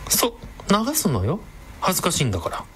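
An actress playing a brash, sardonic villainous woman with a sharp-tongued, theatrical manner in a comedic radio drama. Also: fairly low pitch, huge pitch swings, a little fast, a little hiss or hum. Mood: exasperated.

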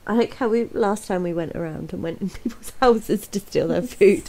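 A young woman co-hosting a gameplay video, chatting in a bubbly, excitable way. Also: medium pitch, normal speed. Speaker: co-host of a gaming channel. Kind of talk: casual gameplay chat.

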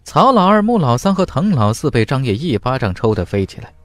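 Male storyteller for fantasy audiobook: dramatic, expressive delivery, close dry sound over a music bed. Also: medium pitch, fairly quick, dry recording. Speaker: audiobook narrator. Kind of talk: dramatic scripted fantasy narration.

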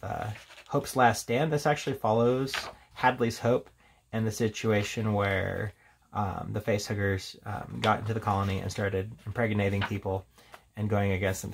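A man with a lowish voice and a lot of vocal fry talks through a product in an off-the-cuff, rambling way. He sounds casually enthusiastic as he reviews, pausing and picking up again. There is faint noise behind him. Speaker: tabletop game reviewer. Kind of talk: casual unscripted product walkthrough. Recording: steady background noise, handling clicks.

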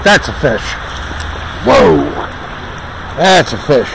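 A man talking casually to himself as he fishes, muttering encouragement and exclamations, with a gravelly voice. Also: medium pitch, big pitch swings. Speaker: angler filming his own fishing. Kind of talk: casual self-talk while fishing. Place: outdoors on a wooded river. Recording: noisy outdoor recording with river noise. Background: rushing river water.

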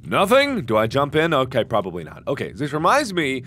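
A man with a hoarse voice giving jokey let's-play commentary into a headset, with sudden excited yelps. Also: mid-range voice, a little fast, a little hiss or hum. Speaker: gaming video host.

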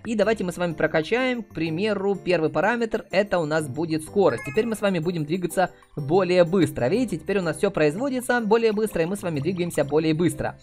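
Man, high-pitched voice, chatty game host, casual playthrough commentary, faint background music. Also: quick pace, hardly any pauses, a little mellow. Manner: chatty, uses cute diminutives. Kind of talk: casual gameplay commentary addressed to viewers.

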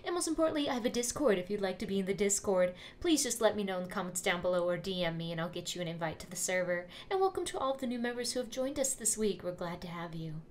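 A woman's voice: mid-range voice, expressive, fast talker, few pauses, slight background noise. A woman with an enthusiastic, friendly manner doing an upbeat channel intro as a let's play host.

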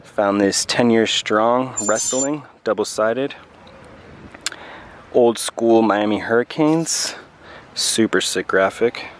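A man's voice: lowish voice, slow. A young man chatting casually as a vlogger and reseller. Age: young adult. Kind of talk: casual vlog chat. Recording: clear outdoor and vehicle noise.

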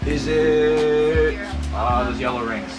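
A man's voice: on the high side, slow pace. A man casually asking questions in a guessing game. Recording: loud music behind, off-mic.

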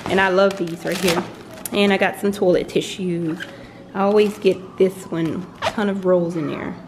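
Young mom vlogging her grocery haul, chatty and relaxed, some room noise behind her. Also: fairly low pitch, unhurried. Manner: chatty, relaxed, laughs often. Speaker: vlogger, college mom of three. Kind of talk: casual grocery haul vlog. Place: home kitchen, indoors.

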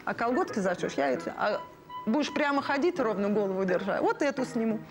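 A woman's voice: on the high side, animated, brisk. A woman in her thirties telling personal memories expressively in a documentary interview. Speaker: artist, interviewee recalling family. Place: indoor studio room full of handmade puppets. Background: music.

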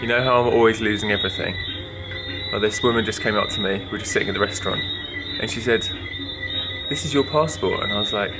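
A man's voice: fairly low pitch, quick pace, over loud music, low-quality recording. Chatty guy vlogging to camera. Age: young adult. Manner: chatty, excitable, talks to camera. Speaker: travel vlogger. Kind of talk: casual vlog narration. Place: car, outdoors and hotel room. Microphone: camera held at arm's length.

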